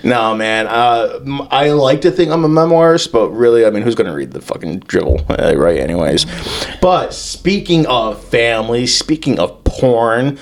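A man's voice: medium pitch, lively intonation, moderate pace. A man hosting a crude comedy podcast, swearing freely, joking and laughing often as he riffs. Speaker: podcast host.

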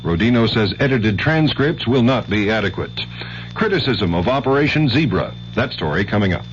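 A man's voice: mid-range voice, expressive, moderate pace, soft background music. An American man anchoring network radio news, reading formally from a script. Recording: dull noisy 1970s AM radio recording.

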